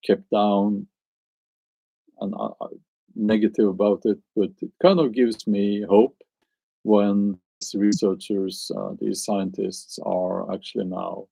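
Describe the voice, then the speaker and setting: Norwegian guy on a live stream, low gravelly voice, warm and mellow, mulls things over out loud. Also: no room sound, low-quality recording. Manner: hesitant, musing, searches for words. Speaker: live streamer answering chat. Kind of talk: casual live stream chat.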